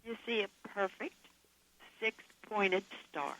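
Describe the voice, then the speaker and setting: A woman from southern Idaho with a hoarse voice, speaking fervently and emphatically as an author guest in a radio interview. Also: medium pitch, lively intonation, slow, a bit bright, slight background noise, low-quality recording. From southern Idaho, USA. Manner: fervent, emphatic, laughs often. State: hoarse from coughing.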